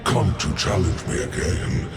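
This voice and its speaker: An actor playing a huge, domineering alien warlord ghost in a video game, taunting with grandiose, menacing contempt. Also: male voice, rumbling low, lively intonation, measured pace. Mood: contemptuous challenge. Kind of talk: scripted video game dialogue. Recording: echoing voice under loud music.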